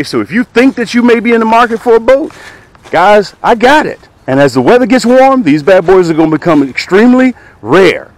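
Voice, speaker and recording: Excited middle-aged man selling fishing gear. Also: sits high, dry recording. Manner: animated, repeats "okay?" and "guys", gestures with hands. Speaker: fishing vlogger and tackle seller. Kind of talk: energetic sales pitch and fishing vlog. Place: outdoors at a lakeshore. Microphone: camera held close to the face.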